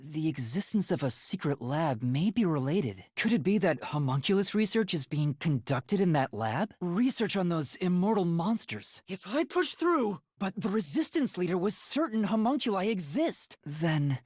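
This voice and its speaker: A boyish young male detective trainee in a video game, light and breathy. He is earnest and timid, polite but easily flustered, and his pitch moves expressively in a dry, close recording. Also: big pitch swings, moderate pace, few pauses, darkish tone, no echo, full character voice. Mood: determined, questioning. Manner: earnest, hesitant, polite, stammers when flustered. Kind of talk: video game voice acting, English dub.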